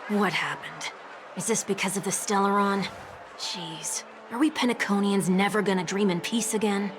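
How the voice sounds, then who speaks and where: Brief: actress playing an exasperated townswoman, clear background noise. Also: mid-range voice, fairly even intonation, normal speed, natural voice. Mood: worried. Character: worried local woman passerby. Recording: noisy ambient background.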